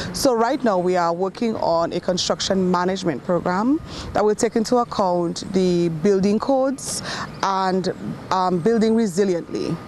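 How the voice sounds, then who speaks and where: A man's voice: high voice, a little mellow, a little hiss or hum. Man explaining programs formally. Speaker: education program director. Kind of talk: news interview.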